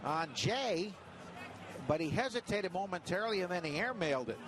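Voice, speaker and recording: A man doing live baseball play-by-play on the radio, in clipped phrases that build into drawn-out calls. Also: high voice, lively intonation. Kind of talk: live radio sports commentary. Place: ballpark broadcast booth. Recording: very noisy ballpark radio broadcast.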